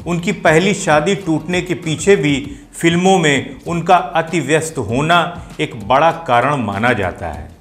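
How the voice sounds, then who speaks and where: A man's voice: on the high side, animated, unhurried, few pauses, a bit bright. A man hosting and explaining things to viewers in video commentary. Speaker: host of a film music show. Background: music playing behind the voice.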